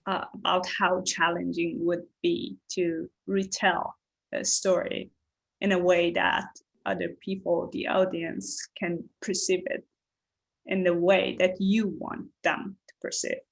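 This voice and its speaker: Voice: mid-range voice, flat delivery, takes it slowly, gravelly, a bit bright, poor audio. A workshop participant sharing reflections in a careful way.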